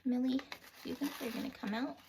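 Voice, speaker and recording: A woman hosting a read-aloud for young children, expressive and full of questions, sometimes dropping into a whisper, recorded at home. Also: sits high, quick pace, gravelly, noisy background. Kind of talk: animated children's storybook read-aloud with chat to the listeners.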